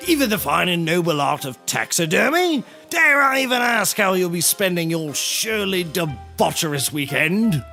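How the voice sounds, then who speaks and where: A man playing a fussy, prim British gentleman taxidermist with a florid, genteel way of talking in a scripted comedy. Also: sits high, very animated, normal speed, vocal fry, hardly any pauses, soft background music, heavily acted. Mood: pompous, disapproving.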